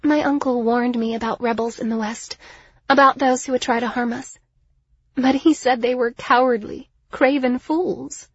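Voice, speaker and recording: A woman narrator plays an imperious young noblewoman for an audiobook, pitched well up. She speaks formally and condescendingly, with wounded pride. The recording is slightly dull. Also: fairly even intonation, normal speed, husky, no room sound. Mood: scornful.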